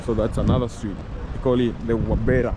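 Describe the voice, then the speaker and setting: A man's voice: medium pitch, flat delivery, a little fast. A man in his forties with a Kenyan accent, chatting earnestly to viewers while walking through a city with a camera held at arm's length. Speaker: travel vlogger. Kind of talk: walking city tour vlog. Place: outdoors on city streets. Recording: outdoor street noise. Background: city traffic.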